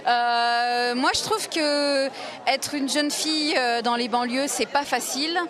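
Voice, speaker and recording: Woman giving a hesitant street opinion, a bit high, over crowd noise. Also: fairly even intonation, takes it slowly. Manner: hesitant, searching for words. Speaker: festival-goer. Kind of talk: vox-pop answer.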